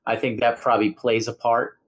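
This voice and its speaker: A man in his fifties hosting a casual chat, joking and throwing in quick quips. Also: medium pitch, level tone, breathy edge. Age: 50s. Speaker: host.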